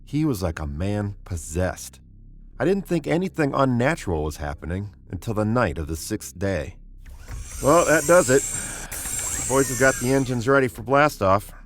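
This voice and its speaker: An actor playing a commanding spaceship captain, terse and grim, in the style of old-time sci-fi radio drama. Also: male voice, mid-range voice, animated, moderate pace, soft background music, natural voice. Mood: grave narration. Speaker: actor and story narrator.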